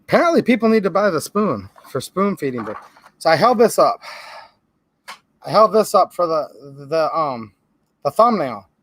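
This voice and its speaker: A man with a Michigan accent speaks to camera in a high, light voice, joking and needling his viewers. The sound is dry and close, with almost no room around it. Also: very animated, normal speed, pauses often, a bit bright, no room sound. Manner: sarcastic, chatty, keeps calling viewers "guys"; holds items up to the camera while talking. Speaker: firearms channel host. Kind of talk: casual talk to camera.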